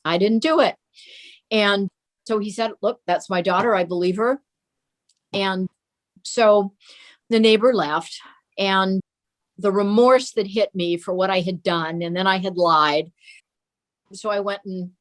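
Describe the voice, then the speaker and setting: An older woman reminisces as an interview guest. Her voice sits at a middle pitch as she tells personal anecdotes. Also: a little slow, pauses often. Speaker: interview guest sharing memories of her father.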